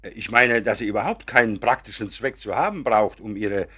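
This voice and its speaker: An actor playing a genial professor in a German radio play, courtly and effusive, smoothing things over. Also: male voice, on the low side, brisk, pushed and bright. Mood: placating. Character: genial, diplomatic professor showing a newcomer round.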